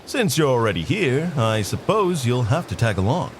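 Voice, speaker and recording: A man's voice: mid-range voice, sing-song, normal speed, slight background noise, lightly acted. A man playing an eccentric, chatty FBI agent in an audio drama, with formal, articulate and quirky speech. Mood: dry, probing. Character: eccentric, chatty male FBI agent dictating to tape recorder.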